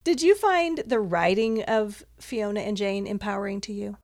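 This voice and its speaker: A woman hosting a public radio interview, asking questions warmly and laughing easily. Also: mid-range voice, moderate pace. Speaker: radio show host.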